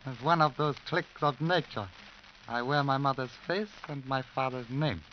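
An actor playing a shrewd, suave crime boss, deliberately polite and faintly menacing, in a vintage radio drama. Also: male voice, medium pitch, lightly acted. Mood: suavely polite. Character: suave, shrewd Chinese-Irish crime boss in a border club. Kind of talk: 1950s radio drama acting. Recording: dull, noisy vintage radio recording.

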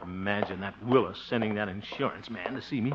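A man's voice: lowish voice, brisk, close to an everyday voice. An American actor playing a genial but jittery, blustering middle-aged man in an old-time radio sitcom, with theatrical, flustered delivery. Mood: muttering, uneasy. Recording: muffled, noisy early 1950s radio recording.

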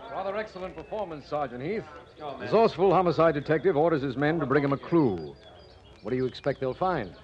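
An actor as a fast-talking sardonic district attorney. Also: male voice, a little high, expressive, a little mellow, lightly acted. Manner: mocking, formal. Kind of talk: 1940s radio acting. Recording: muffled old radio recording.

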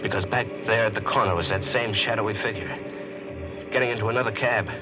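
A haunted, possessive gambler played by a male actor in a 1940s radio mystery. His voice is low and hard-boiled, urgent and intense, with dramatic music clearly behind it. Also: quick pace, dull audio, natural voice. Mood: frantic. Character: possessive, guilt-ridden gambler narrating his panicked downfall.

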